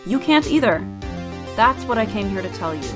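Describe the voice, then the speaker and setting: Calm, encouraging female mentor played by an actress. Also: medium pitch, normal speed, over music, natural voice. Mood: reassuring. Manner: reassuring, gently firm. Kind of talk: dramatised video game voice acting.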